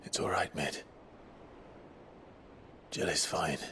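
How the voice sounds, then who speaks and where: An actor playing a weary, resolute warrior leader, speaking in a measured, earnest, hushed way in a game cutscene. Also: male voice, extremely low pitch, slight background noise, heavily acted. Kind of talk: video game cutscene acting.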